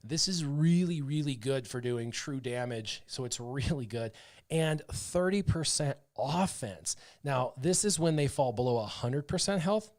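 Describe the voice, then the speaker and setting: A man streaming a mobile game, breaking down his team's abilities in a loose, excitable way. He talks in a mid-range voice and leans on fillers as he thinks aloud. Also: mid-range voice, unhurried. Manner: chatty, excitable, full of fillers like "um" and "like". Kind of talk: casual livestream commentary.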